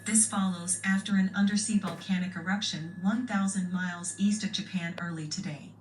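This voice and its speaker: A woman delivers a scripted breaking news report as an anchor. Her voice is on the low side, heard through a distant, echoey recording with clear noise. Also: steady tone, moderate pace. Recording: noisy, echoey playback from far off.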